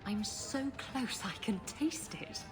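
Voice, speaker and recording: An actress playing a confident, roguish treasure hunter in a video game, talking in a breezy, casual way. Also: female voice, a little high, expressive, slow. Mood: eager. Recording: music behind.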